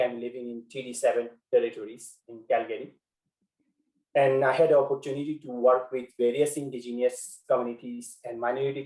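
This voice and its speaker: Measured Bangladeshi man, echoey room. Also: mid-range voice, darkish tone. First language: Bengali. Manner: measured, with frequent pauses and fillers. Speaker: guest speaker. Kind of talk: webinar presentation.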